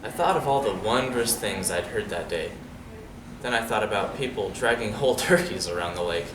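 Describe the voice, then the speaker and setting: A man reading a storybook aloud to young listeners in an expressive, dramatic way. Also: fairly low pitch, normal speed. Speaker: storybook reader. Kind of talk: animated read-aloud story with asides to children. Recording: roomy, distant mic, noisy background.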